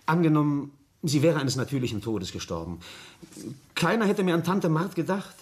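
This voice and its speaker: A man's voice: a little high, big pitch swings, moderate pace. An actor playing a defensive, evasive young man in a family radio drama. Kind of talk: German radio drama acting.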